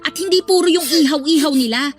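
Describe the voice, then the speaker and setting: A woman's voice: high-pitched, quick pace, soft background music, natural voice. A young woman playing a protective, blunt and emphatic best friend in an audio drama. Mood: indignant.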